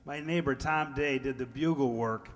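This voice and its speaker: Male troupe member, informal unscripted stage thanks, average pitch, roomy live recording. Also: normal speed. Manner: plain, unscripted. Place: hall with an audience. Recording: echoey hall, faint noise.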